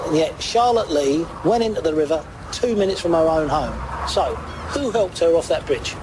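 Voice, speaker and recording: A British man playing a steady police detective, asking measured, probing questions in a naturalistic drama style. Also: fairly high pitch, very noisy, close to an everyday voice. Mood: pressing.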